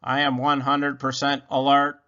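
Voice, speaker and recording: A man's voice: medium pitch, monotone, a little slow, pushed and bright, clean tone, dull audio. A man voicing a polite, literal-minded robot in a children's storybook, speaking in formal, precise phrases. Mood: matter-of-fact.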